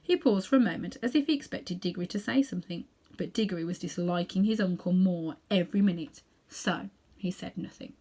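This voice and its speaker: A woman's voice: lowish voice, fairly quick, mellow. A woman narrating a children's story, reading expressively from the book as storyteller. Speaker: storybook reader.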